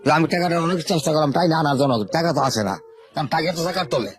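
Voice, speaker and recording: Fast-talking older man. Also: on the high side, animated. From Bangladesh, Sherpur. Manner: unhurried rustic storytelling, repeating words. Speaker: interview guest. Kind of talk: telling his life story in an interview.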